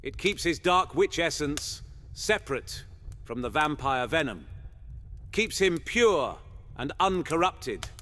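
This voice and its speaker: A man's voice: a little high, sing-song, slow, fairly bright tone, exaggerated voice. A man playing a chatty, zealous medieval monster hunter in a fantasy audio roleplay, with theatrical, old-fashioned phrasing. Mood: chatty, conspiratorial.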